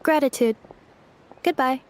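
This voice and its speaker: High-voiced, formal female robot. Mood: polite, inquiring. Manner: formal, measured, clipped phrasing. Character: polite female robot with glitchy cognition, earnestly curious. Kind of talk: video game voice acting.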